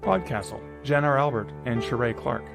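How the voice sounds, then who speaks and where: A man's voice: mid-range voice, lively intonation, normal speed, vocal fry, over loud music. A man hosting a live-streamed awards ceremony over a video call, reading nominees in a jokey, upbeat way. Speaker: awards host.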